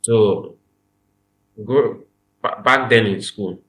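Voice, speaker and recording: Young man, Nigerian accent, low-pitched, earnest, repeats himself, podcast guest. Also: extremely slow, a bit bright. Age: young adult. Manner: earnest, repeats phrases, emphatic. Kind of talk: casual interview chat.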